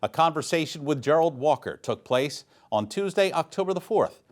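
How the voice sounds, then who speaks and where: A man's voice: a little high, expressive, normal speed. A man reading scripted local news as an anchor.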